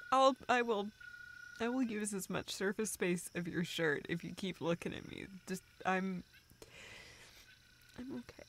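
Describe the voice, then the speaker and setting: A woman acting a tearful, self-deprecating young woman in an intimate audio roleplay, halting and rambling as she talks to a close friend. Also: mid-range voice, slight background noise. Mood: weepy, embarrassed. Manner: halting, rambling, soft laughs and whispered asides.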